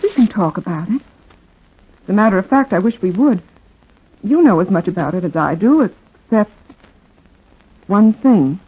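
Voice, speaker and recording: A wistful, frank young widow speaks at a middling pitch. Her delivery is earnest and deliberate, in the manner of 1940s radio drama. The recording is muffled and old. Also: expressive, slightly rough. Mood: earnest, pained.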